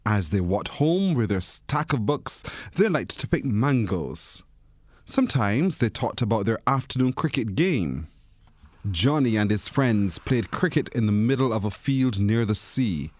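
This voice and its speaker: A man's voice: lowish voice, animated, a little slow, no echo, clean studio sound. A man reading a children's storybook expressively, as a narrator who acts out the characters. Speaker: story reader.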